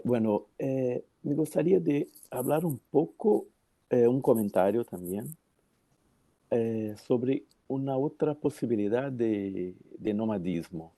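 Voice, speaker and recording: A man with a very low, dark voice and a Brazilian Portuguese accent, commenting in an academic discussion. He talks slowly, with frequent fillers, searching for words in a language that is not his own. The sound is dry and close. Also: dry recording.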